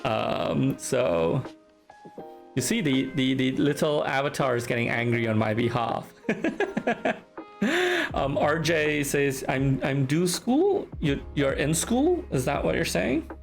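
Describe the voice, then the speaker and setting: A man's voice: mid-range voice, very animated, slow, gravelly, soft background music. A man from Singapore with a Singaporean English accent, chatting casually on a live stream, teasing, reading chat aloud and laughing often.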